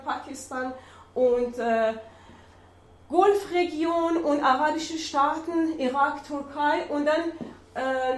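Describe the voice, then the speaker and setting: A woman with a Persian accent gives a public lecture at an unhurried pace. Her voice sits high, and she pauses often with fillers while she searches for words. The room carries a noticeable echo. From Iran. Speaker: adult-education lecturer. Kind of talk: public lecture with map slides.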